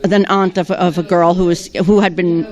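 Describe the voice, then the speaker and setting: A woman actress answering red carpet questions, hesitant, with many pauses and restarts. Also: fairly low pitch, fairly even intonation, a little mellow.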